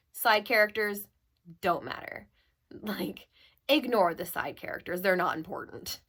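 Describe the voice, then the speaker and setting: Lower-pitched woman, slightly gravelly from illness. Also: fairly bright tone. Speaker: book review vlogger. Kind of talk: casual chatty monologue to camera.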